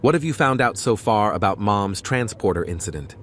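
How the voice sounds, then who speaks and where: A man's voice: fairly low pitch, animated, normal speed, fairly bright tone. A man playing an earnest, reflective starship captain and devoted son in a fan audio drama. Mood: inquiring. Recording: faint background noise.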